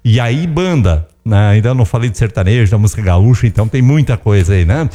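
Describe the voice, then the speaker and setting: Chatty radio host. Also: male voice, fairly low pitch, expressive, fairly quick, dark tone. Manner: chatty, keeps tagging questions to listeners. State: voice strained, close to giving out. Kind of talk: casual radio chat with ads and song requests.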